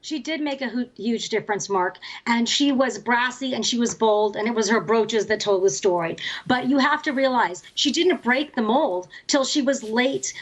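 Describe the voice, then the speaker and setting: High-voiced woman commentator. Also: poor audio. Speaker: political commentator, interview guest. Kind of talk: conversational news interview commentary.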